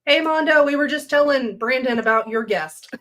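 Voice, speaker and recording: A woman's voice: sits high, moderate pace, fairly bright tone. A woman on a podcast call as a paranormal investigator guest, laughing often and chiming in. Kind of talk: casual chat.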